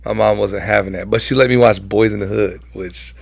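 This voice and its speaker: A young American man with a low-set voice and plenty of vocal fry. He tells personal stories in a loose, slangy, conversational way as a guest, captured dry with little room sound. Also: fairly even intonation, a little fast, no room sound. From Southern California, United States. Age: young adult. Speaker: interview guest. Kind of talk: casual personal storytelling.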